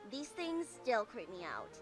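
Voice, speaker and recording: A woman voicing a bratty, hot-tempered girl in a comedic anime parody dub, shrill and indignant. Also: big pitch swings, measured pace, over music. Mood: annoyed.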